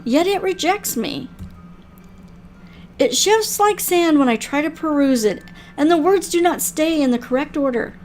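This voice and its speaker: A woman's voice: high-pitched, a little mellow. A woman voicing a mysterious, courteous female keeper of an otherworldly library in a visual novel, with formal, wistful phrasing. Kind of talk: visual novel character read aloud.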